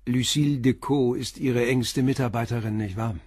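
Male actor as calm police inspector, raspy mid-pitched voice, measured questioning, dry close sound, radio crime play. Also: no room sound, close to an everyday voice. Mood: probing. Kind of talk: German radio crime drama acting.

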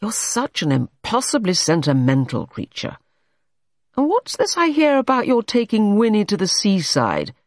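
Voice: medium pitch, huge pitch swings, normal speed, no room sound, clean studio sound, voice pushed up. A reader voicing an irritable, domineering male painter in an audiobook story, blunt and scolding. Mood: exasperated.

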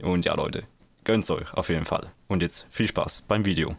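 A young man from Switzerland with a Swiss German accent, casually commenting live on his own gameplay, excitable and full of fillers. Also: sits low, creaky. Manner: casual, excitable, many fillers and quick reactions. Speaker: gaming channel host.

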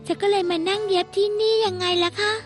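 A gentle, earnest young seamstress in a cartoon, speaking softly and politely. Her voice is very high and bright, and a clear music bed plays behind her. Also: little pitch movement, fast talker. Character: sweet young seamstress shop girl, earnest. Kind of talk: Thai dub of a Japanese cartoon.

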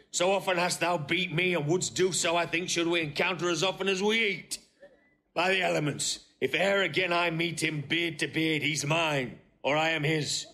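Fierce beaten general, higher-pitched male voice, bitter temper, classical stage declamation in an audio play. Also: pushed and bright. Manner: declamatory, vehement. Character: fierce, vengeful defeated male warrior general. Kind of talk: classical Shakespearean stage acting.

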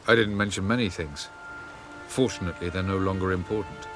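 Man playing a deep-voiced haughty elf sage. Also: steady tone, over music, close to an everyday voice. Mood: aloof, evasive. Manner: formal, measured, condescending. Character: haughty, guarded ancient male elf sage. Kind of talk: video game dialogue.

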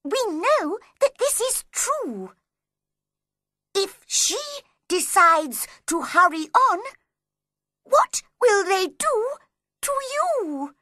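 Lady doing a really high squeaky-bright storybook rabbit guy, fussy herald, loud n formal. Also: big pitch swings, drawn-out words, pauses often, no room sound, exaggerated voice. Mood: solemn reciting. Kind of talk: children's storybook character voice.

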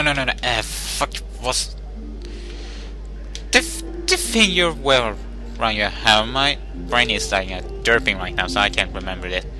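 Slow, bright-voiced Swedish gamer. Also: male voice, fairly low pitch. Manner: crude, angry ranting with swearing. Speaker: let's play gamer. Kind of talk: gameplay commentary.

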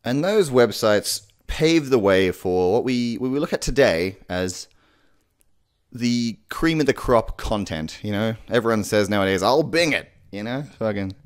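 A man's voice: on the low side, animated, unhurried, smooth. A man in his twenties hosting a video with casual, sweary, comedic talk to camera. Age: 20s. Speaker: video host. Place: living room, indoors. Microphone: desktop condenser microphone, close.

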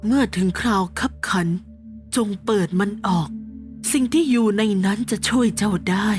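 A woman voicing an ancient, benevolent guardian spirit in an animated horror story, speaking in a stately, solemn way over music. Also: medium pitch, moderate pace, natural voice. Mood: solemn blessing. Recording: clear music under the voice.

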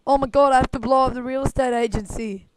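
A young man with a croaky voice chatting casually while recording a block-building survival game with friends. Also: extremely high pitch, fairly even intonation, fast talker, noisy background. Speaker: video recorder and server mod. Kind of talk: casual gaming chat.

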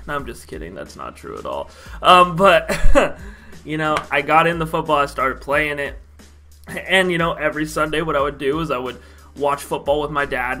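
A young American man with a fairly high, bright voice tells a casual story to camera from a small bedroom. He speaks like an animated fan, slangy and emphatic. There is faint music in the recording. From Idaho, United States. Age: young adult. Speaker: YouTube vlogger.

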